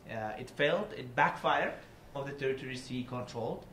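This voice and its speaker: A man's voice: mid-range voice, lively intonation, a little fast, fairly bright tone. Man in an echoey room. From Iraqi Kurdistan. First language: Kurdish. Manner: earnest and explanatory, with frequent restarts. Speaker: guest speaker. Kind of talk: public talk. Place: university seminar room. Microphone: table mic from a seated distance. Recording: echoey room with faint noise.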